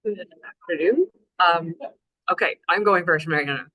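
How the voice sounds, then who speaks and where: Low-voiced Canadian woman presenting. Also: moderate pace, pushed and bright, no echo, rough recording. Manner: chatty, self-deprecating, many fillers. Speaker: local authority climate officer. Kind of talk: webinar presentation. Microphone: video call.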